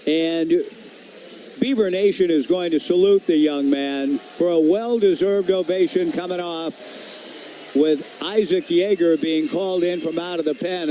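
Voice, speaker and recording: A man's voice: high voice, warm tone. An American man calling a live baseball game as a radio play-by-play announcer, with ballpark ambience around him. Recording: live broadcast with clear background noise.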